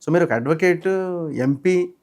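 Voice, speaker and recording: A man's voice: on the high side, measured pace, darkish tone. A man interviewing in a conversational, probing way. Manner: probing, interjects short acknowledgements. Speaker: journalist interviewer.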